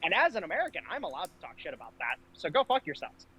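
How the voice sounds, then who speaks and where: A man's voice: fairly high pitch, wildly swooping pitch, fast, bright, forceful tone, slightly rough. A man giving casual political commentary as a podcast host, speaking in a brash, blunt, profanity-laced way.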